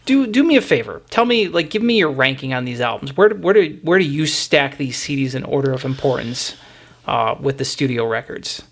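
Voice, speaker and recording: A man's voice: mid-range voice, lively intonation, normal speed, vocal fry. A man hosting a casual music discussion, talking in a rambling, opinionated way. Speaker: music podcast host.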